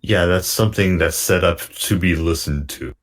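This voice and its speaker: A man in a casual group critique, thinking aloud in a halting way as a commentator. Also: sits low. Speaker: panel commentator.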